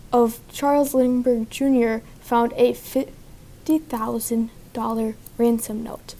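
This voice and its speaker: A woman with a soft-edged, mellow and fairly high voice delivers a scripted breaking news bulletin. She reads like an amateur reporter and stumbles slightly over words. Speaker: breaking news reporter in a student history project.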